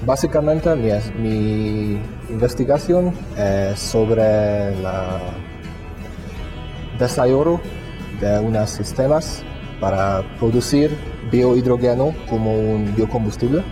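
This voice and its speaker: A man's voice: lowish voice, takes it slowly. A man from Hungary with a Hungarian accent, a postdoctoral researcher giving an interview testimonial and searching for his Spanish words. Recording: music bed underneath.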